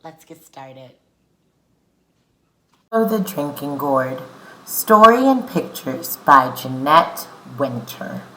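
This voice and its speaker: A woman's voice: low-pitched, lively intonation, slow, long pauses, sharp tone, slight background noise, low-quality recording. A woman hosting a read-aloud lesson for children as a teacher, explaining things simply.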